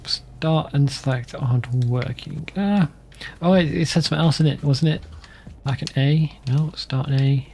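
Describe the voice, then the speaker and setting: Mellow, creaky-voiced man doing game commentary. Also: a little high, moderate pace. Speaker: let's play host. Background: game music, keyboard typing.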